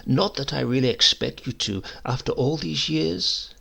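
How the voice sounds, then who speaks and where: A man acts out a sly old poisoner from a humorous storybook. His voice is very creaky and husky, and he talks slowly and insinuatingly, with a seedy, false geniality. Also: medium pitch, pushed and bright, heavily acted. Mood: sly, teasing.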